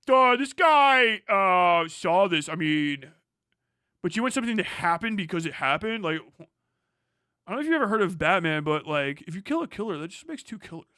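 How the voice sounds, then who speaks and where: A man with a high, bright, forceful voice gives live reaction commentary. He is blunt and profane, speaking as a streamer in a dry, close sound. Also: lively intonation, normal speed, clean tone, dry recording. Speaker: streamer reacting to a news video. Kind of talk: live reaction commentary with read-out passages and rants.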